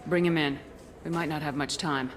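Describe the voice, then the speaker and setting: A woman's voice: sits low, steady tone, moderate pace, a little hiss or hum, natural voice. A woman playing a tough, commanding military officer in a video game, speaking in a terse, firm and direct way. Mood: businesslike.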